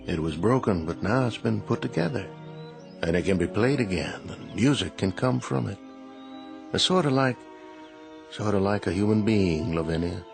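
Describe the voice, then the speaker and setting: An actor playing a young husband in a radio drama, speaking calmly, tenderly and soothingly. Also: male voice, fairly low pitch, creaky, over music. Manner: calm, tender, slow and soothing. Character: gentle young husband, soldier's calm.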